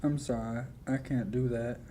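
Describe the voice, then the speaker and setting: A young male amateur actor playing a nervous, evasive old clock repairman in a halting, polite manner, in 1940s radio play style. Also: medium pitch, steady tone, dark tone, a little hiss or hum, lightly acted. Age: young adult. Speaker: amateur high school actor.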